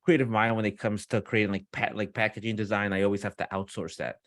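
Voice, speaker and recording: Low-voiced, informal man from Peru. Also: little pitch movement, fast, fairly bright tone, no echo, clean studio sound. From Peru, moved to the US as a young child. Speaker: podcast guest, company founder. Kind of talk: casual conversational interview. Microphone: own external microphone on a video call.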